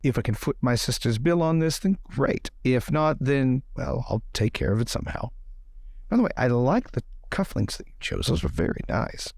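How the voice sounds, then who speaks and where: A man's voice: mid-range voice, very animated, moderate pace, gravelly, darkish tone, no room sound. A man voicing a chatty, generous lord with a courtly, fussy, wry manner in a tabletop adventure.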